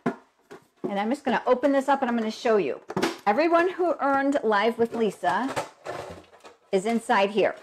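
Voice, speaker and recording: A woman's voice: on the high side, lively intonation, moderate pace, long pauses, background noise. A woman with a cold hosts a casual live stream, chatting along, greeting viewers by name, her voice a little froggy. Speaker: host of a live stamping show.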